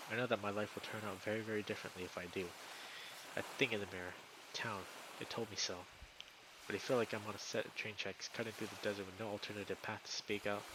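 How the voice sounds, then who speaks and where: A man's voice: on the low side, noisy background. A man reading a visual novel aloud as a streamer, in dramatic first-person narration.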